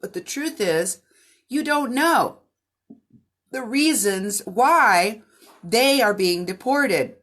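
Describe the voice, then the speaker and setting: A woman hosting and interpreting into English, talking in a halting way with frequent restarts. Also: a little high, expressive, fairly bright tone.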